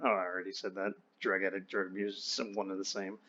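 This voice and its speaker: A man's voice: low-pitched, flat delivery, fast, bright, forceful tone. A young man casually telling personal stories in a candid, wry, slangy and profane way over an online voice chat. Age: young adult. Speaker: friend telling his life story. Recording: dull lo-fi voice chat.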